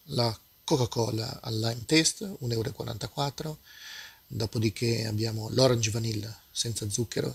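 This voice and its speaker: A man from Italy walking through supermarket aisles with a handheld phone camera, casually narrating shelf prices as a food vlogger, relaxed and hesitant with many fillers. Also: mid-range voice, creaky, breathy edge.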